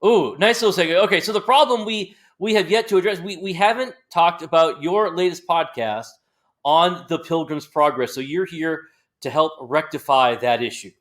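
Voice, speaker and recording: A man's voice: on the high side, moderate pace. An American man hosting a podcast, chatting casually with joking banter. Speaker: podcast host. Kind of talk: casual interview chat.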